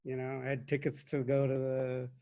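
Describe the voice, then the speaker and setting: A man's voice: mid-range voice, monotone, moderate pace, mellow, clean tone. A man chatting casually on a video call, friendly and laughing easily. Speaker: newly appointed university regent.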